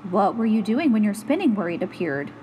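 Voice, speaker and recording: A woman reading a children's picture book aloud in an animated, instructive, expressive way. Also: medium pitch, a little fast, long reverb tail, lo-fi sound, a little hiss or hum. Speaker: storytime reader and host.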